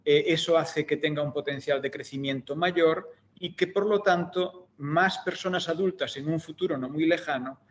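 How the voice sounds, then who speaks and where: A middle-aged man from Galicia with a Galician Spanish accent, giving an online seminar as guest lecturer in a measured, hesitant way with frequent fillers and restarts. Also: a little high, muffled recording. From Galicia, Spain. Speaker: guest lecturer, optometry researcher. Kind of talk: academic seminar talk.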